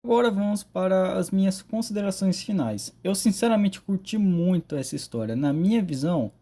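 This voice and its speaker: A man's voice: high-pitched, normal speed, heavy background noise. A man with a Brazilian accent giving a casual narrated recap and commentary as a video creator. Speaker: video creator reviewing horror stories.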